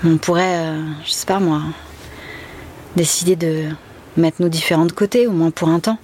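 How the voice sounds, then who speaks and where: An actress playing a brazen, coaxing lover in a crime drama, with casual, naturalistic phrasing. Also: female voice, on the low side, steady tone, normal speed, darkish tone, a little hiss or hum, lightly acted. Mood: coaxing, seductive. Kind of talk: naturalistic audio drama dialogue.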